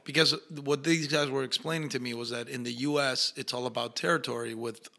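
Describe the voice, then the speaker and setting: Bright-voiced man with an Argentine accent. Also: mid-range voice, brisk, gravelly. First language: Spanish. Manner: animated storyteller acting out quoted voices. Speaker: actor guest. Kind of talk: casual interview storytelling.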